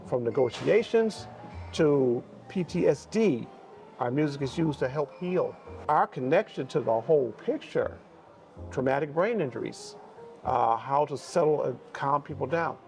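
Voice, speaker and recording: A man in his sixties from Buffalo, New York, answering interview questions about his life in music in a reflective, unhurried way. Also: mid-range voice, a little mellow. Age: 60s. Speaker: guest musician. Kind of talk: interview conversation.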